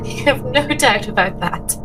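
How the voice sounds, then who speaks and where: A woman playing a genteel, anxious, soft-hearted lady in a tabletop horror game, with a polite, hesitant, fretful way of talking. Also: sits high, pitched above the performer's own voice, low-quality recording.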